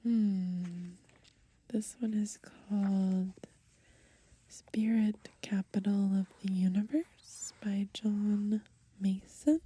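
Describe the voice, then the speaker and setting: A young woman softly whispering in a gentle ASMR style as she browses and reads from a book outdoors. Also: medium pitch, extremely slow. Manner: soft, gentle, breathy whispering. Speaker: ASMR creator. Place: outdoors in the grass.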